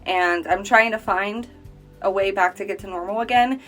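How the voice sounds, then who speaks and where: A young woman in her late twenties speaks earnestly and conversationally, sharing her own experience in a testimonial. Her pitch sits at an ordinary middle height for a woman. Soft music plays quietly underneath. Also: normal speed. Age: 20s. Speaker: cancer survivor sharing her story. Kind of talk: personal testimonial.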